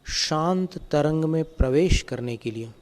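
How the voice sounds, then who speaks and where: A man guiding a meditation as a spiritual teacher, giving calm, gentle, step-by-step instructions. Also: on the high side, unhurried. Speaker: Jain monk and meditation teacher.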